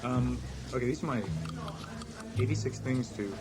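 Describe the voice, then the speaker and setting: A man's voice: medium pitch, moderate pace. A man informally introducing and reading out a list. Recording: very loud music behind the voice.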